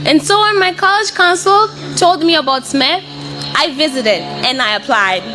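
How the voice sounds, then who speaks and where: A woman's voice: high voice, normal speed, over music. A woman in her twenties with a Mozambican accent and Portuguese as her first language, giving an earnest, prepared personal speech as a student speaker. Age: 20s.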